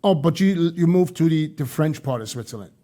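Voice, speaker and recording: Guy hosting a podcast, mellow kinda higher voice, casual chat, repeats words getting his question out. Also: expressive, a little fast. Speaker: podcast host interviewer.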